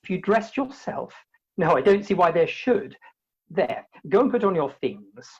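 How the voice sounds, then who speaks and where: Woman playing a husky, prim young bridegroom. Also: fairly low pitch, big pitch swings, exaggerated voice. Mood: self-satisfied. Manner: mannered, fastidious, precious. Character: prim, bashful, self-righteous young bridegroom, male. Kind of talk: theatrical comic radio play acting. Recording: dull, lo-fi video-call recording.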